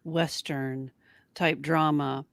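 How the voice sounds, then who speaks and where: A woman co-hosting a casual fan livestream, conversational and haltingly thinking aloud. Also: low-pitched, fairly even intonation, vocal fry, no echo, clean studio sound. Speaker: co-host of a fan show.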